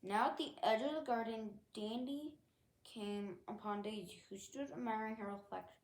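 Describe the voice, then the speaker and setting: A woman reading a children's picture book aloud as a storyteller, with expressive narration. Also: on the high side, moderate pace, reverberant, slight background noise, low-quality recording.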